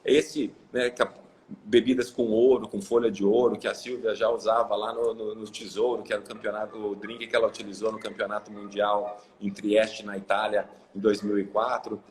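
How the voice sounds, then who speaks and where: A man's voice: fairly low pitch, flat delivery, moderate pace, darkish tone, slight background noise, poor audio. A Brazilian man from Ribeirão Preto, talking casually as a guest on a live video call, rambling with many fillers and restarts. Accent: Brazilian Portuguese. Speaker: guest, coffee exporter.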